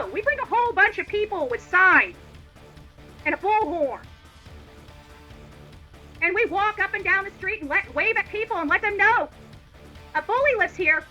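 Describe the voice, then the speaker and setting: Very high, piercing, combative woman ranting. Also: pushed and bright. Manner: combative, indignant, emphatic and repetitive. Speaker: livestream host. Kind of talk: opinionated livestream rant.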